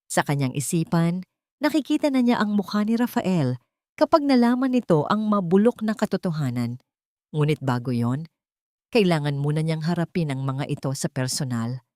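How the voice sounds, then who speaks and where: A woman's voice: low voice, animated, normal speed, darkish tone, dry recording, clean studio sound. A woman with a Filipino accent reading a dramatic scripted story as an audiobook narrator.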